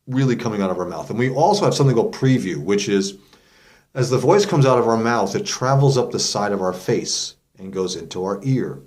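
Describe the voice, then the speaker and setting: A man's voice: fairly low pitch, darkish tone. A man speaking as a vocal coach, giving a casual, step-by-step explanation in an informal, demonstrative way. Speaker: vocal coach hosting an instructional video. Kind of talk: casual explanatory lesson to camera.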